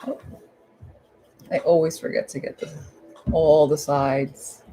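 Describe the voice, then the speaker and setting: A woman with a hoarse, husky voice giving a casual, chatty step-by-step painting tutorial at home, repeating her instructions in informal language. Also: sits low, steady tone, slow, dark tone, a little hiss or hum, low-quality recording.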